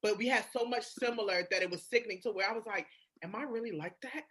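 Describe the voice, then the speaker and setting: A woman in a candid, casual podcast chat, expressive and slangy, swearing freely. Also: medium pitch, fast talker, husky.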